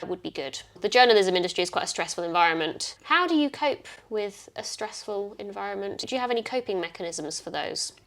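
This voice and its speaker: Outgoing woman host, clear enunciation. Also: medium pitch, lively intonation, brisk, hardly any pauses. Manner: loud, outgoing, enunciates carefully. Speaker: podcast host. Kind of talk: casual chat.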